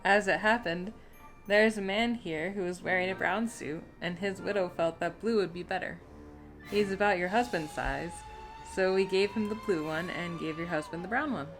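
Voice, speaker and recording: A woman's voice: lowish voice, moderate pace, soft background music, natural voice. A woman playing an obliging, matter-of-fact male undertaker in a scary story reading.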